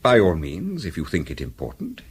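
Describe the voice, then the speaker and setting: An actor playing a formal, obliging English solicitor in a British radio drama, speaking politely with a Received Pronunciation accent. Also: male voice, deep voice, wildly swooping pitch, normal speed, slightly rough, full character voice. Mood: polite, confidential. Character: courteous, discreet English solicitor, a man of business.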